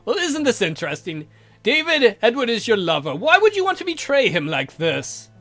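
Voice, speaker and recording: A woman voicing a stern male count in a visual novel, measured, imperious and coolly probing. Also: on the high side, very animated, a bit bright, soft background music, full character voice. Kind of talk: visual novel read aloud in character.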